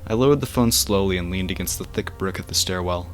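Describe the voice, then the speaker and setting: A man's voice: low voice, quick pace. A man reading a first-person horror story aloud as bedtime narration, like an amateur audiobook with the occasional stumble. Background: music.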